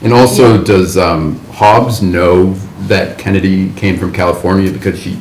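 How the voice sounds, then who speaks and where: A man's voice: deep voice, fairly even intonation, measured pace, darkish tone. A man joining a writers' group as a guest, curious and hedging, with many fillers. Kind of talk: casual group discussion. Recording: roomy echo with faint noise.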